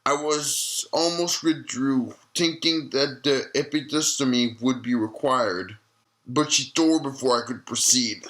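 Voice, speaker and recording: Man playing elderly male doctor, haunted, audio-log drama, slow deliberate pacing, sharp bright tone, reflective storytelling. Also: mid-range voice, lightly acted, rough recording. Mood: haunted, grave. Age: older. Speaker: retired obstetrician being interviewed.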